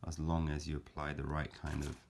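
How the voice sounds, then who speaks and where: A man calmly explaining a paper craft step by step, in a gentle, measured way with frequent fillers. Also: rumbling low. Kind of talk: calm step-by-step craft tutorial.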